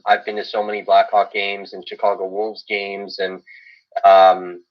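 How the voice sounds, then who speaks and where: Lowish American man on dull stream audio. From Illinois, US. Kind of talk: casual chat.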